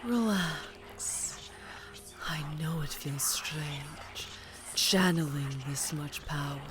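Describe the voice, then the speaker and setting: A woman playing a cruel, imperious vampire mistress in an ASMR fantasy roleplay, mock-tender and menacing, with breathy whispers. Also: low voice, very slow, background noise. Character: cruel, imperious vampire mistress gloating over her witch apprentice.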